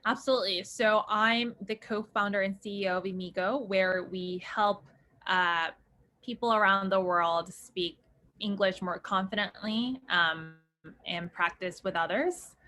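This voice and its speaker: A woman's voice: medium pitch, unhurried, pushed and bright. A young woman from South Korea, a startup founder answering interview questions in a chatty way with many fillers and restarts.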